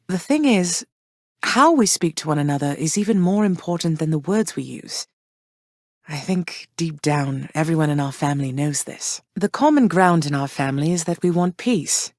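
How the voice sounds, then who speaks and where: A woman plays a gentle, regal queen and caring mother in a studio game. Her voice sits a little low, and she speaks warmly and with measured calm. The recording is dry and close. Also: no room sound, lightly acted. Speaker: game character.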